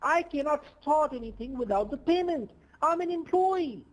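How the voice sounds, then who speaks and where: A woman's voice: sits high, lively intonation, moderate pace, rough recording. A woman on a sales call, negotiating over payment for work. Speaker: caller demanding payment for publishing work.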